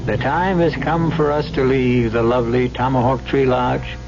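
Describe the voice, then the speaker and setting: A man hosting a radio mystery anthology, narrating theatrically in a wry, measured way over music. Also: mid-range voice, fairly even intonation. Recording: muffled old radio broadcast with music.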